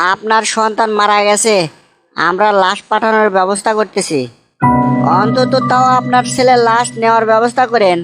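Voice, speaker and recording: A man voicing a concerned, solemn cartoon migrant worker, speaking deliberately in a Bogura dialect of northern Bangladesh. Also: high voice, fairly bright tone. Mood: solemn, reproachful. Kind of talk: amateur comedy cartoon dubbing.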